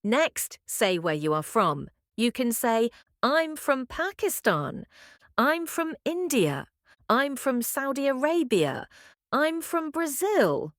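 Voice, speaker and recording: Female podcast teacher, clear friendly delivery, animated pitch, very unhurried. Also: dry recording, clean studio sound. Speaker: podcast co-host and English teacher. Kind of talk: scripted English lesson dialogue.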